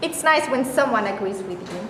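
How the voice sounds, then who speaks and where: An actress plays an agreeable, earnest woman in a comic staged reading. Her fairly high voice carries in an echoey hall. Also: lively intonation, a little fast, close to an everyday voice. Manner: conciliatory, earnest. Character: agreeable, hopeful woman, forgiving ex-smoker. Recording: echoey hall, background noise.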